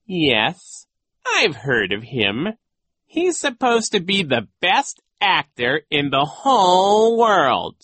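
A man's voice: high-pitched, huge pitch swings, fairly bright tone, dry recording, voice pushed up, heavily acted. A man voicing a sly, gloating storybook villain disguised as a gym teacher, drawing out his words theatrically. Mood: smug, mocking. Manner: slow, drawn-out, theatrical delivery.

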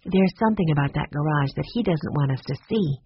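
An observant, practical girl in a children's mystery , played by an audiobook reader. The delivery is matter-of-fact, mid-pitched and husky, with a dry, slightly dull sound. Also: lively intonation, brisk. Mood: suspicious.

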